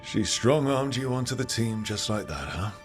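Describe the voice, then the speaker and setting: An actor playing a steady, friendly male team leader in a fantasy video game. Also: on the low side, normal speed, faint music behind, lightly acted. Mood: welcoming.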